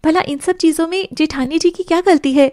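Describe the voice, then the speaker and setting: A woman voicing a kind, gentle young wife who speaks politely in an animated family drama. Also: extremely high pitch, a little fast, dry recording, clean studio sound, voice pushed up.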